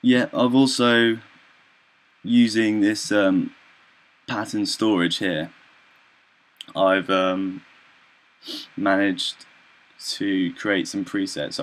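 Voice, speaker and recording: A young man talks through an informal software demo in a low, gravelly voice. He is hesitant, often filling gaps with ums and clearing his throat as he works out what to say next. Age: young adult. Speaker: student presenter.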